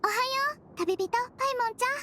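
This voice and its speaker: A woman voicing a small, sweet head nurse in an anime game, girlish and gentle, with reassuring phrasing. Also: extremely high pitch, rapid-fire, very noisy. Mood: friendly.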